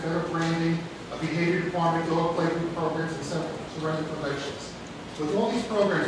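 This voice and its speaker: A man giving a public comment at a board meeting, reading a prepared critical statement in a loud, firm voice. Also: a little high. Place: large meeting hall. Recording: echoey hall from far off, noise.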